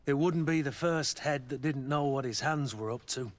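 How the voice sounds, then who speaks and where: An actor playing a gruff, loyal mercenary master of arms in a video game, brooding, plain-spoken and sardonic. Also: male voice, mid-range voice, moderate pace, lightly acted. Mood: grimly determined. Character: gruff, battle-worn male mercenary master of arms, guilt-ridden, loyal. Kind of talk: video game dialogue acting.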